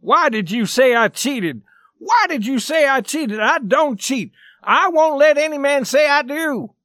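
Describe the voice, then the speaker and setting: Guy doing a hotheaded young man in an old story, loud, high pitched, insists over and over, outraged. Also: animated, takes it slowly, no room sound, studio quality, full character voice. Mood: indignant. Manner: loud, insistent, repeating himself. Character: hot-headed, indignant young man demanding a fight.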